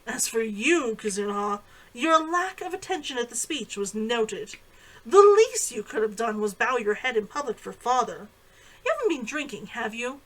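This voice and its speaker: A woman's voice: on the high side, big pitch swings, normal speed, low-quality recording. A woman reading a storybook part as a bossy noble elder sister, formal and reproachful. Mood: stern, scolding.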